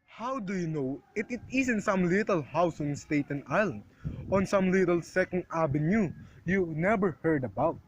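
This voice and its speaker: A woman's voice: fairly low pitch, animated, moderate pace, breathy edge, low-quality recording. A woman playing a weary, dismissive desk police officer in an amateur radio play, placating and patronizing. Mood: skeptical, dismissive.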